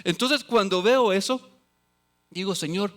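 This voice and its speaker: High-voiced man preaching a sermon. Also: a little slow. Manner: swings between loud exclamations and quiet, low asides; quotes scripture and acts out voices of others. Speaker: pastor.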